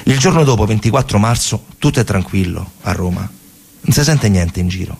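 A man performing dramatic theatrical storytelling, in short emphatic phrases with repetitions and deliberate pauses. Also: fairly low pitch, expressive, darkish tone, breathy edge. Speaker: stage storyteller, actor performing a narrative monologue.

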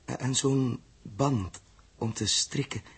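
An actor playing a troubled, uneasy man who mutters haltingly and repeats himself, in a 1960s radio drama. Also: medium pitch, breathy edge, exaggerated voice. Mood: brooding, hesitant. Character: troubled, hesitant grown man Joost, uneasy space traveller. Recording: muffled-voiced old 1960s radio recording.

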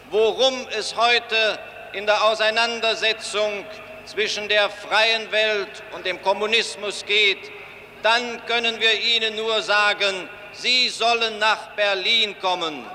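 A man interpreting a speech consecutively for a large outdoor crowd, slow and declamatory. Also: high voice. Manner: slow, declamatory, rising to shouts. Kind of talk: live consecutive interpreting at a rally. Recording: archival open-air rally, echoing, loud crowd noise.

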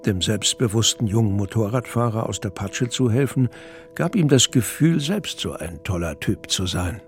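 A man narrating a scripted audio drama in a measured, dry storytelling manner. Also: on the low side, expressive. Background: music.

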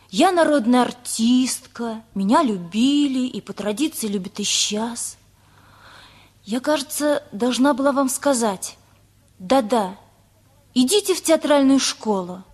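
An actress playing a headstrong, earnest teenage girl with a husky voice in a radio play. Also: a little high, a little mellow.

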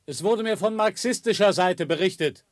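An actor playing a persistent, polite questioner in a period radio drama, formal and insistent. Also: male voice, sits high, normal speed, close to an everyday voice. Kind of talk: 1970s German radio drama acting.

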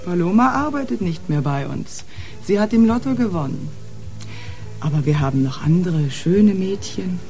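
Cool, mellow nightclub madam. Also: female voice, fairly low pitch, lively intonation, moderate pace, faint music behind. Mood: cool, guarded. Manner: smooth, guarded politeness. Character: poised, cool, slightly mocking female nightclub proprietor. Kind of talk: radio play acting.

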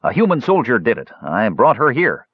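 A man's voice: medium pitch, wildly swooping pitch, normal speed, breathy edge, dry recording, muffled recording, pitched above the performer's own voice. A man voicing a stern, humorless half-elf who speaks tersely and protectively in an audiobook. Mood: explaining. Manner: terse, serious. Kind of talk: audiobook character voice.

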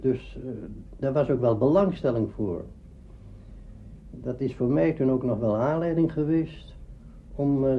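An older man, a radio writer, recalling his work conversationally in an interview. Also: mid-range voice. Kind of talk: conversational reminiscence. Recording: muffled archive tape, faint noise.

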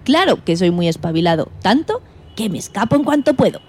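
A woman's voice: medium pitch, brisk, slight background noise, natural voice. A woman playing an indignant, timid male guinea pig who tells his story expressively in a children's radio play. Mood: proud, wary. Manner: expressive, exclamatory, plaintive.

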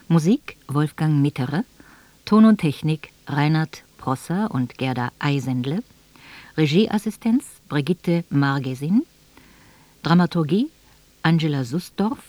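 A woman's voice: low voice, dark tone, dry recording. A woman radio announcer reading a formal scripted broadcast announcement with careful enunciation in a studio.

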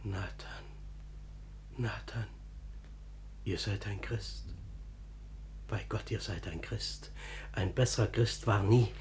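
An actor playing a simple, kindly, pious lay brother in a German classical radio drama, speaking gently and earnestly. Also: male voice, lowish voice, takes it slowly, pauses often, a little hiss or hum. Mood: moved, hushed.

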